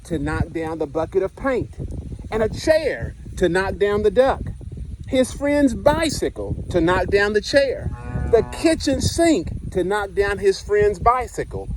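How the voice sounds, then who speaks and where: Male storyteller, high animated voice, expressive children's read-aloud, faint background noise. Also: unhurried. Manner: expressive storytelling with asides to listeners. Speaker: school principal reading aloud.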